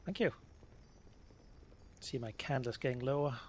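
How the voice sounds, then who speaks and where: Guy doing casual lets play commentary, mid pitch, musing to himself, bit of game noise behind. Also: a little slow. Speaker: let's play video creator.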